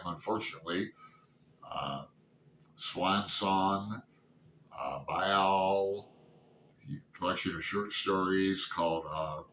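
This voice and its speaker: A man in his sixties chatting casually and unscripted about books, halting and rambling as he thinks aloud. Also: sits low, pushed and bright. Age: 60s. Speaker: book reviewer vlogger. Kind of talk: casual unscripted book chat. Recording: roomy echo, distant mic, background noise.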